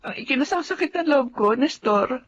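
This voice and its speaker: A woman's voice: sits high, animated, fairly quick, a little hiss or hum. An actress playing a humble, loyal middle-aged housemaid and mother in a Filipino radio drama. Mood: hurt. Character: long-serving loyal housemaid and mother, humble, hurt, forgiving. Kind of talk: Filipino radio drama acting and first-person narration.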